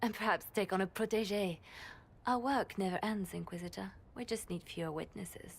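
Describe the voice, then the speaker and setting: An actress playing a composed spymaster who speaks softly and deliberately, with a conspiratorial edge. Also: female voice, mid-range voice, vocal fry, slight background noise, lightly acted. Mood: measured. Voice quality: soft, measured, quietly conspiratorial. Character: composed, scheming spymaster woman.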